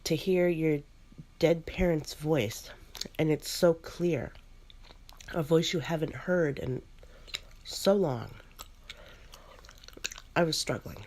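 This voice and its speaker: A woman chewing gum as she narrates a first-person ghost story in an ASMR style, pausing between short phrases. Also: sits low, a little mellow, slight background noise. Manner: chews gum while narrating.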